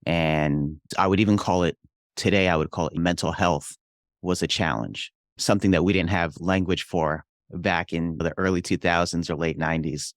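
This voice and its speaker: A man's voice: sits low, moderate pace, no echo, clean studio sound. A young adult man from Hawaii, a real estate investor and pastor, telling his life story in an earnest, reflective way. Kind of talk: casual interview storytelling.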